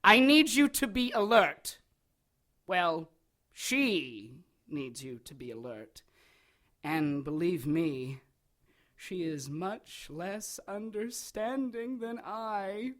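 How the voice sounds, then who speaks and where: An actress in a radio drama playing an ancient, sadistic villainess with a mocking, theatrical delivery. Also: female voice, on the low side, animated, slow pace, a bit bright. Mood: playful threat. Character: gleeful, sadistic, theatrical villainess, centuries old.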